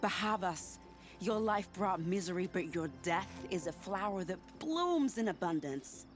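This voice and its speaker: A woman's voice: lowish voice, lively intonation, measured pace, faint music behind. An actress playing a confident, scheming spy in a video game, teasing and theatrical in her delivery. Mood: gleeful, scheming. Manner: teasing, silky, dramatic. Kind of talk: video game cutscene dialogue acting.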